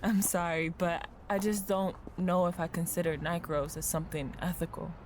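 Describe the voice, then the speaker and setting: A woman's voice: on the low side, steady tone, normal speed, a little mellow, slight background noise, close to an everyday voice. A woman acting in an audio drama as a principled company employee, speaking in an earnest, probing way. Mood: doubtful, restrained.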